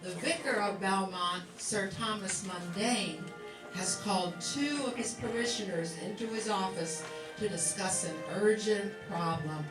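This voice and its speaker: A woman's voice: mid-range voice, measured pace, recorded from a distance, natural voice. Woman as a formal radio announcer, roomy sound. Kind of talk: scripted old-time radio introduction. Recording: roomy, off-mic recording with music.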